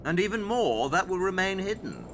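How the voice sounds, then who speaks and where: Brilliant condescending detective, lively swooping delivery, precise lecturing, audio drama, clear background rumble. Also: male voice, moderate pace. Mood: assured, intent. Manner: didactic, condescending, precise explanations. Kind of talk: audio play acting.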